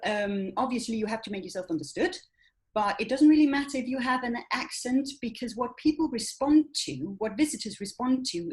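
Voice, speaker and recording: A woman whose first language is Danish, hosting an interview, asking thoughtful questions and affirming her guest. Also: on the high side. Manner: affirming, thoughtful, quotes others in quick asides. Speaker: host.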